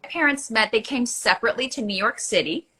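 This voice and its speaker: A bubbly woman in her forties tells her life story in a casual interview, laughing easily. Her voice is bright and forward, and it comes through a video call. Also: fairly high pitch, a little fast, husky. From United States. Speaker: guest.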